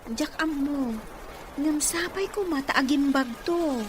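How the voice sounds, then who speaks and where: A woman's voice: high voice, a little slow, over music. A woman playing an industrious middle-aged aunt, anxious to please, in an Ilocano radio drama. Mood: busy, earnest.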